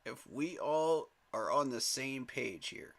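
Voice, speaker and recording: A man's voice: mid-range voice, expressive, slow pace, vocal fry, fairly bright tone. A man hosting a live sports fan stream, talking straight to his chat in an emphatic, pleading way and chuckling at rival fans.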